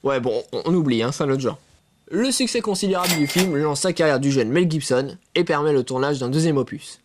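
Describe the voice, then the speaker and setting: A man's voice: fairly high pitch, expressive, brisk, noisy background. A man hosting a film review show, reading scripted narration with casual, joking asides.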